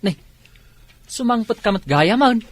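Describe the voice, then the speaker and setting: A man's voice: very high-pitched, animated, a little slow. A man with an Ilocano accent playing a deferential, curious, sympathetic driver in an audio drama. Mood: eager, puzzled. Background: music.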